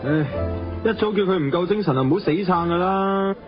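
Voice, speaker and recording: A man's voice: sits high, fairly quick, lightly acted. Sighing, pragmatic Hong Kong man , acted. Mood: concerned, resigned. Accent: Hong Kong Cantonese. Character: sighing, pragmatic male friend, sceptical but caring. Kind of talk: radio drama acting. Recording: dull lo-fi radio audio with faint music.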